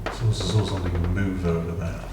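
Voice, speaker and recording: A British man with a very low voice talks his way through a game in a loose, rambling way, full of dry asides that poke fun at himself. He sounds some way off from the mic, in an echoey room with clear noise underneath. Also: level tone, racing, recorded from a distance. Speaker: gaming channel host. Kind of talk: casual gameplay commentary.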